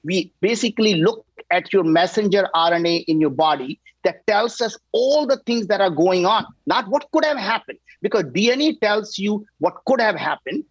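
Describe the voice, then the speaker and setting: Man, 60s, founder type, eager pitch, husky tone, muffled dry audio. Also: normal speed, few pauses, no room sound. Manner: energetic, emphatic, repeats "right?". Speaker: company founder guest. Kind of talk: enthusiastic pitch on a talk show.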